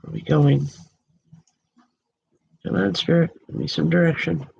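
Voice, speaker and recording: A woman's voice: deep voice, little pitch movement, measured pace, creaky, breathy edge, muffled recording. A woman from the Northeastern US giving a live-streamed spiritual reading as a psychic medium. She has a nasal head cold and talks in a chatty, affectionate, rambling way with many fillers.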